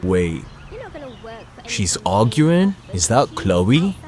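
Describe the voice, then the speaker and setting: A man's voice: lowish voice, very animated, extremely slow, darkish tone, full character voice. A man playing a confident, wealthy young suitor with a casual, self-assured manner in an amateur game roleplay. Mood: curious. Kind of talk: amateur voiced roleplay acting.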